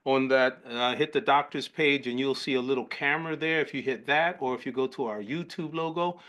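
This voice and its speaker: Upbeat, bright-voiced male host. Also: medium pitch, a little fast. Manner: upbeat, jokey, often repeats words. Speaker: webinar host. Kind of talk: casual panel moderating. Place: online webinar call.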